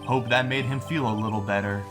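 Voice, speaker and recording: A man's voice: medium pitch, steady tone, brisk, music in the background, lightly acted. A man voicing an earnest, awkward young male lawyer in a fan-dubbed game, hesitant and a little wry. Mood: reflective. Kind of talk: fan-dub video game dialogue and inner narration.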